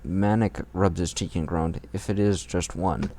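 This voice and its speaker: Low-voiced man mockingly reading fan fiction. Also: fairly even intonation, a little slow, mellow. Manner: irreverent, crude, profane. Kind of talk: mocking read-aloud with ad-libbed comments.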